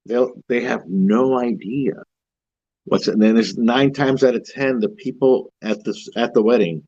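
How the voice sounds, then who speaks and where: A man with a deep voice, a horror-themed wedding officiant, chatting casually and confidently as an interview guest, with frequent restarts. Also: measured pace, darkish tone, breathy edge, dry recording, dull audio. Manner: rambling, self-assured, many restarts.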